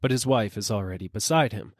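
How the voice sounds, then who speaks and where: A man's voice: lowish voice, fairly quick, dry recording, clean studio sound. A man reading a literary story as a dramatic audiobook narrator.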